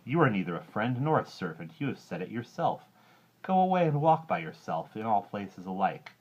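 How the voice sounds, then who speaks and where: A man's voice: fairly low pitch, animated, normal speed. A man voicing a wise, firm cave woman who mocks the cat. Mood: mocking. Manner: laughing, scornful. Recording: dull lo-fi recording.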